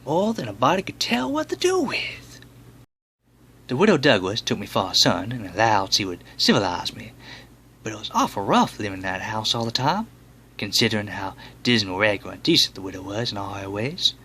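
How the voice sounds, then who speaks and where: A man's voice: lowish voice, sing-song, brisk, sharp tone, slight background noise, heavily acted. A man performing a dramatic monologue as a folksy, plain-spoken boy. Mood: pleased, marvelling. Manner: drawling, folksy, ungrammatical storytelling.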